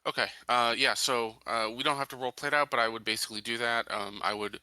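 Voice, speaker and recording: A man's voice: medium pitch, fairly even intonation, quick pace, pushed and bright, clean tone, no echo. A man playing a tabletop roleplaying game, casually thinking aloud with many hesitations as he lays out his character's actions.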